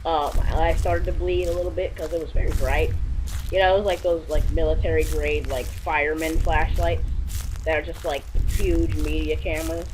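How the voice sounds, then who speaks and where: A man's voice: on the high side, steady tone, normal speed. A young man telling an improvised comic story casually, rambling and leaning often on "like". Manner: rambling, repeats "like". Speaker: storyteller in a comedy skit. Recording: clearly noisy amateur recording.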